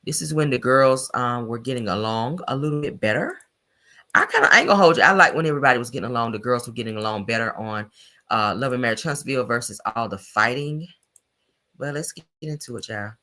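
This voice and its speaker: A woman doing casual, blunt reality-TV gossip commentary for her viewers, her voice deepened by allergies. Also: lively intonation, fast talker, bright, forceful tone. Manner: blunt, slangy, talks straight to viewers.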